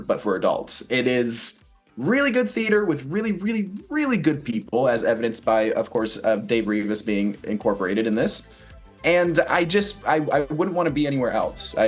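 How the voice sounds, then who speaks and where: A male stage actor talks casually as an interview guest. He is chatty and joking, rambling through what he says like a storyteller, at an ordinary male pitch. Faint music plays behind him. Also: slightly rough. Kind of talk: casual promotional interview chat.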